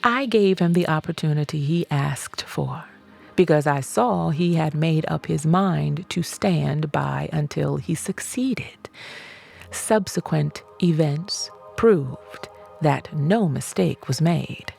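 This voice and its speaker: A woman reading an audiobook as scripted narration, in a measured, deliberate way with emphatic phrasing. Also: low-pitched, gravelly, a little mellow, husky, faint music behind. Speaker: audiobook reader.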